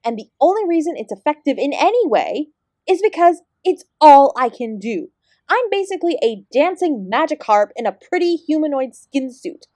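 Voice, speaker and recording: A woman's voice: a little high, darkish tone, no room sound, natural voice. A woman playing an ancient celestial dance teacher in an audio roleplay, sarcastic and exasperated, speaking with sardonic, sweary emphasis. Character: ancient female apsara dance guru, sarcastic, exasperated, fiercely independent. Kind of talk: scripted audio roleplay addressed to the listener.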